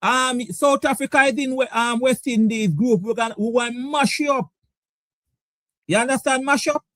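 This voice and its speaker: A man's voice: very high voice, normal speed, fairly bright tone, no echo, clean studio sound. A man hosting a casual cricket chat show, teasing his guests and laughing often. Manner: laughing, teasing, repeats words. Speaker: show host.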